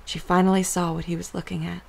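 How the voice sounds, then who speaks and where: A woman's voice: on the low side, flat delivery, quick pace, gravelly, a little mellow. A woman narrator reading a scripted story for an audio drama.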